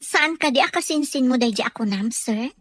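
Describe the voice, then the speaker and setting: High-voiced, polite Ilocano therapist, played by an actress. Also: female voice, expressive, brisk, no room sound, clean studio sound. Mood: concerned, questioning. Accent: Filipino, Ilocano. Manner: polite, deferential. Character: caring, respectful female physical therapist encouraging her patient. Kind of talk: Ilocano radio drama acting.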